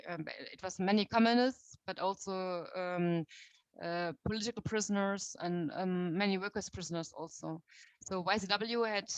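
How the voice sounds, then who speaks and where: A woman's voice: on the low side, dry recording. A young woman from Germany with a German accent, giving a friendly talk on a call and hunting for words with many fillers. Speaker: guest speaker. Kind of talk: online talk to a meeting. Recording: dull lo-fi audio.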